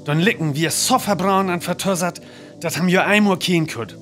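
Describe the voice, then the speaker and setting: A man's voice: high voice, moderate pace, a bit bright, soft background music. A man acting a radio newsreader in a drama, announcing a grave disaster formally and urgently with a North Frisian accent. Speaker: radio news announcer in a radio play. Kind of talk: scripted news bulletin in a radio play.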